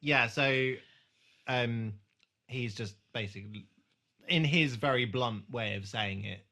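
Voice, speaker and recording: A man chatting casually as a podcast host, recapping a TV drama in a halting way with searching pauses. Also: medium pitch, pushed and bright.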